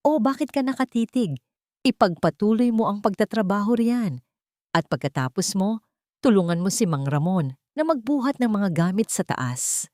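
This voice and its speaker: A woman's voice: mid-range voice, big pitch swings, moderate pace, mellow, no room sound, pitched above the performer's own voice, lightly acted. A woman plays a scornful old mother-in-law in an audio drama. She is greedy and haughty, mocking and commanding as she speaks, with a close, dry sound.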